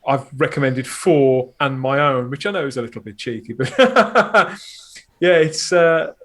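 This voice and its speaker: A man's voice: medium pitch, measured pace, a bit bright. A man chatting casually as a podcast guest, effusive and rambling as he talks. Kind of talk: casual chat.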